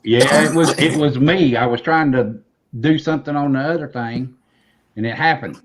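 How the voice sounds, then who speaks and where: A man hosting a casual livestream chat. He talks in a lively, apologetic way and laughs often. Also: medium pitch, moderate pace, poor audio. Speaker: livestream host.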